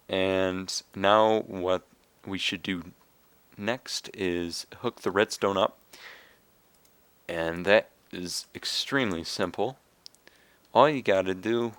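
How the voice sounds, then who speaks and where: A young man with a raspy, slightly hoarse voice, casually explaining a game build step by step with fillers. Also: deep voice, fairly bright tone, dry recording. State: hoarse from coughing. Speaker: video game tutorial maker. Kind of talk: casual screen-recorded game tutorial.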